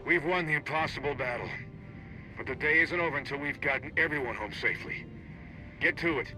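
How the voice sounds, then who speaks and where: An actor playing a rousing, dutiful fleet commander in a video game, urgent and commanding. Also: male voice, on the high side, fairly quick, over music, natural voice, rough recording. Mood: triumphant command. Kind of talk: video game military acting.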